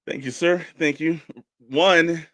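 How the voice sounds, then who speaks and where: A man's voice: fairly high pitch, steady tone, slow, fairly bright tone, no echo. A man co-hosting a casual live show, hyping up guests in a folksy, effusive way and repeating phrases for emphasis. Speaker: podcast co-host.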